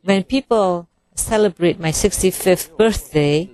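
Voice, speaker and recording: A woman interpreting a Buddhist sermon phrase by phrase in a measured way. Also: fairly low pitch, warm tone, rough recording.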